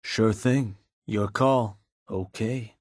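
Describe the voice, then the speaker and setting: Raider gang fixer for a video game: low, raspy, shrewd, casually deferential to the boss, recorded very dry. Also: male voice, fairly even intonation, drawn-out words, darkish tone, dry recording, exaggerated voice. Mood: casual, persuasive. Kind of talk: video game character dialogue.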